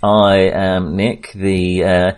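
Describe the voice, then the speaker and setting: A man hosting a casual film discussion podcast, with a wry, jokey manner and a halting, hesitant delivery. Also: deep voice, little pitch movement, clear, resonant tone. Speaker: podcast host.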